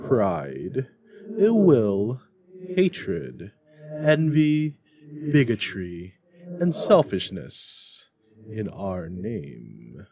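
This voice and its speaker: A man voicing a giant, hearty male spirit in a storybook reading, declaiming slowly and emphatically with solemn indignation. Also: medium pitch, heavily acted. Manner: loud, slow, emphatic declaiming.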